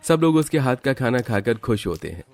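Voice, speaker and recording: A man's voice: mid-range voice, sing-song, a little fast, darkish tone, no room sound. A man narrating a scripted Hindi family story as its storyteller.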